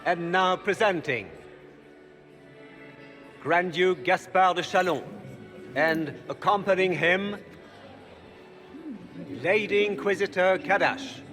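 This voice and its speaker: An actor playing a pompous court herald, proclaiming titles formally and ceremoniously. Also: male voice, high voice, takes it slowly, long pauses, a bit bright, soft background music. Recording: long reverb of a grand hall.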